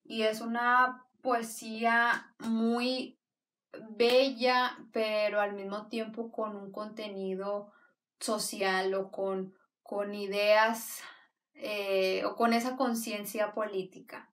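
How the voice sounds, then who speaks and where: A woman talks through her favorite books in a chatty, enthusiastic way, circling back and restarting her sentences as she goes. Her voice sits at an average pitch and sounds slightly distant from the mic. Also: little pitch movement, slow, fairly bright tone. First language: Spanish. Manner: enthusiastic, rambling with frequent restarts. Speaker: book vlogger. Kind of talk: casual book review talk.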